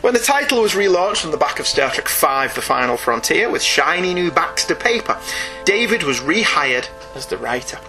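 A British man with a fairly high, forceful voice presents a comics review. He moves between prepared narration and jokey asides in an articulate, wry way. Faint music plays with his voice. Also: sing-song, moderate pace, rough recording. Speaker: podcast host reviewing comics. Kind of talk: comic review, part scripted narration, part casual banter.

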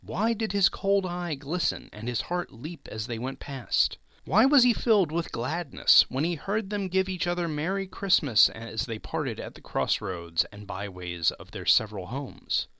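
A man's voice: on the high side, very animated, measured pace, creaky, pushed and bright, no room sound. A man reading a classic story aloud as dramatic narration, theatrical and emphatic.

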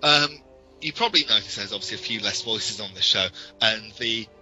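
Give a man with a slightly low voice and a very bright, forward tone. He talks as a podcast co-host in a casual discussion, laying things out in discursive detail. There is music in the recording. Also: fairly quick, lo-fi sound. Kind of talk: casual fan discussion, explaining in depth.

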